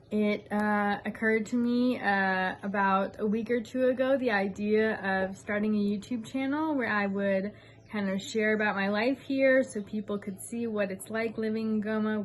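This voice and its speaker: An American woman in her thirties chats to camera from her veranda, relaxed and earnest, often pausing on an "um". Her pitch sits in the middle, and there is a faint hum of outdoor ambience behind her. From United States. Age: 30s. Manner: frequent ums, relaxed and earnest. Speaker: vlogger. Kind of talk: casual chat to camera.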